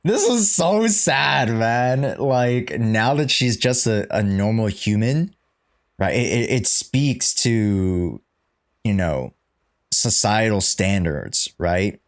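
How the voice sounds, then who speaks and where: A man's voice: fairly low pitch, lively intonation, takes it slowly. A man giving casual, musing reaction commentary as a game streamer, in informal speech. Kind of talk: casual reaction commentary, reading subtitles along.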